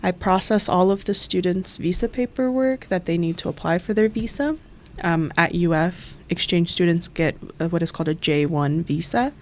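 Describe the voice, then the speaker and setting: A woman's voice: lowish voice, moderate pace, slight background noise. A young woman from Florida, an exchange coordinator, explaining her job in friendly detail in an interview. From Florida, USA. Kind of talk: interview answers.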